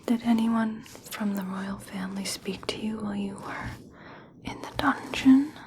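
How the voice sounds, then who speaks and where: A woman playing a gentle, caring, curious young elf princess in a whispered, soothing ASMR personal attention roleplay. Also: mid-range voice, a little hiss or hum, close to an everyday voice. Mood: gently curious. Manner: soft, slow, soothing whisper.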